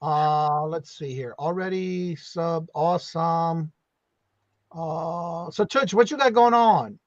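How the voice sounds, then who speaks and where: Man hosting a live stream, fairly high voice, chatty, muffled dry sound. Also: slow, no echo. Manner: chatty, many fillers, southern y'all. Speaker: host. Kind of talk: casual live-stream chat.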